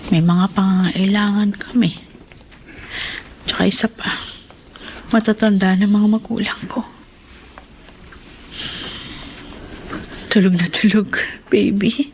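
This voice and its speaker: Actress as a long-suffering young mother, medium pitch. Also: fairly even intonation, unhurried, pauses often, a little mellow, slight background noise, natural voice. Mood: tender, resolute. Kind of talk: Filipino radio drama acting.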